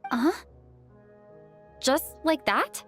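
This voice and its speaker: A woman voicing an earnest, modest young female adventurer in a video game, speaking gently. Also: high-pitched, expressive, slow, heavily acted. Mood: surprised, questioning. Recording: game music behind.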